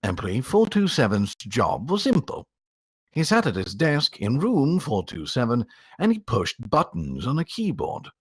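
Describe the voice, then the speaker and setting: A man reading a story aloud as a voice actor, with a theatrical, expressive storytelling delivery. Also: mid-range voice, measured pace, husky. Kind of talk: dramatic storytelling narration.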